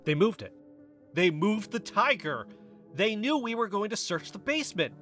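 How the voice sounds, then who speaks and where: A man's voice: sits high, big pitch swings, normal speed, sharp tone, faint music behind, full character voice. A man playing a paranoid, agitated investigative podcaster in an audio drama, speaking with urgent insistence. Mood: agitated, alarmed. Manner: urgent, insistent, dwelling on suspicions. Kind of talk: audio fiction podcast dialogue.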